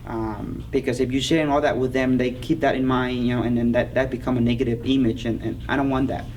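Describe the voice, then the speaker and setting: A man's voice: mid-range voice, level tone, darkish tone. Vietnamese-accented man, distant and noisy. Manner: earnest, halting, many fillers. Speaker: interviewee. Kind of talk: oral history interview. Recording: distant mic with clear background noise.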